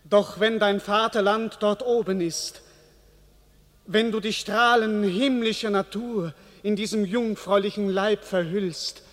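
A man's voice: high-pitched, steady tone, unhurried, a bit bright. A solemn king in a vast hall. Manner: solemn, ceremonial proclamation. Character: a regal king addressing his people. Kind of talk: classic verse radio drama. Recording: long reverb, as in a cathedral.

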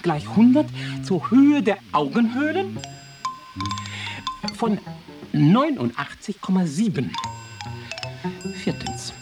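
An actress playing an exacting, opinionated skull examiner who enumerates findings clinically in a radio drama. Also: female voice, medium pitch, wildly swooping pitch, slow, long pauses, distant mic, music in the background.

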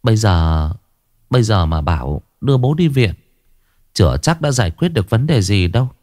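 A man voicing a worried young daughter-in-law who gently coaxes her family. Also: fairly low pitch, sing-song, moderate pace, dry recording, studio quality. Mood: worried coaxing. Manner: coaxing, gentle.

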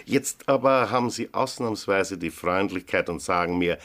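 An actor playing a suspicious, officious village police sergeant in an Austrian radio drama, curt and probing in an Austrian accent. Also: male voice, sits low, normal speed, a bit bright. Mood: insistent. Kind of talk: German-language radio drama acting.

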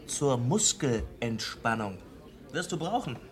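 A man playing a brisk, wry, nonchalant eccentric friend who is secretly an alien, in a German radio play. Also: medium pitch, very animated. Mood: wry, urgent. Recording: crowded pub chatter behind.

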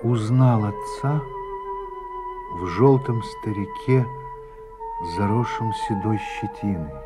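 An actor narrating a radio play as a man looking back on his life, in a slow, reflective manner that falls to a hush at the ends of phrases. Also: fairly low pitch. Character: adult man recalling his youth, reflective and grave. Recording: studio narration over music. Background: music score.

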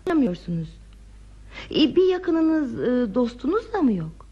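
High-voiced, curious, romantic woman visitor. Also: measured pace, husky, highs cut off, heavily acted. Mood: sympathetic, inquisitive. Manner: expressive, dreamy, theatrical phrasing. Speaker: radio play actor. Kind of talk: Turkish radio theatre acting.

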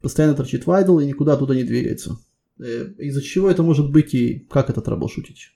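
Low-key man interviewer, slightly creaky voice. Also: fast, mellow. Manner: probing with step-by-step follow-up questions. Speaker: technical job interviewer. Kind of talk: spontaneous technical questioning.

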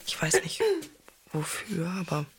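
An actress playing a devoted, anxious young woman podcaster in a naturalistic audio drama, gentle and placating with a hesitant delivery. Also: deep voice, unhurried, a crowd in the background. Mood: pleading, apologetic. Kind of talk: naturalistic German audio drama with inner narration.

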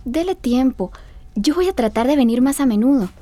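An actress playing a curious, admiring young friend in a radio drama, with a Costa Rican accent and a gushing manner. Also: female voice, high voice, expressive, moderate pace, mellow. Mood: gentle, curious. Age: young adult.

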